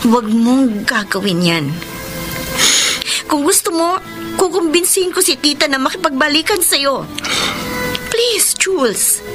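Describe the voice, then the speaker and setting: A woman's voice: high voice, big pitch swings, a little slow, pauses often, over music. An actress playing a concerned, imploring woman in a Filipino radio drama. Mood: anxious pleading. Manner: urgent, imploring. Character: Astrid, concerned, pleading woman consoling a despairing man.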